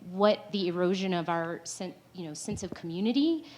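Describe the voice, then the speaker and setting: A woman's voice: on the low side, expressive, normal speed, darkish tone, slight background noise. A woman speaking on a live panel, conversational and full of restarts, laughing often. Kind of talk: panel discussion.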